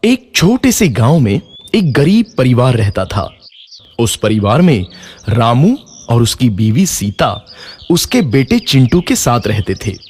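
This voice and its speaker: A man's voice: medium pitch, sing-song, measured pace, a little mellow, slightly rough. A man narrating a scripted children's story.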